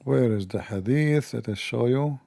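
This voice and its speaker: Gravelly-voiced man, halting and mocking. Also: mid-range voice, dark tone. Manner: halting non-native English with restarts, mocking tone. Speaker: channel host. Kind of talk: casual commentary, quizzing an AI assistant.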